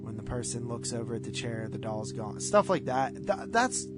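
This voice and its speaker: A man's voice: mid-range voice, very animated, moderate pace. Casual man chatting over guitar music. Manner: rambling, informal. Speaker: podcast co-host. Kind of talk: casual movie review chat.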